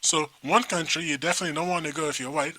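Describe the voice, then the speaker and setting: A middle-aged man from Nigeria co-hosting a talk show, chatting in a rambling, animated way with many restarts. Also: on the high side, very fast, rough recording. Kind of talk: casual chat.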